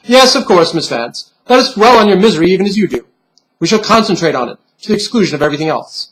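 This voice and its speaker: A stern little bunny pin from a storybook , acted out by a man, speaks in formal, condescending lectures. The higher-set voice is solemn and patronizing, like a tutor to a child. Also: lively intonation, quick pace, low-quality recording.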